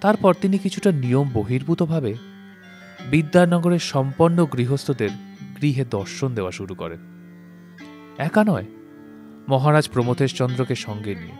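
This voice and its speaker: A man narrating a literary story from a script, with measured, formal phrasing. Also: medium pitch, expressive, a little mellow. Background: plucked-string instrumental music.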